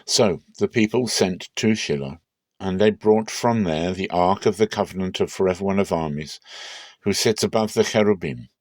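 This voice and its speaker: A man with a lowish voice reads scripture as drama. His delivery is measured and solemn but expressive, and the sound is dry and close, free of room echo. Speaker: Bible reader.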